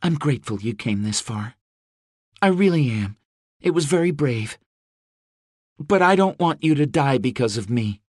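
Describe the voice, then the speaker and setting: A woman's voice: very deep voice, animated, moderate pace, long pauses, husky, no echo, studio quality, pitched above the performer's own voice, heavily acted. A male narrator voicing a fierce young woman who leads mercenaries under siege, blunt and swearing freely. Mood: incredulous, pleading. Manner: sharp-tongued, swearing, blunt.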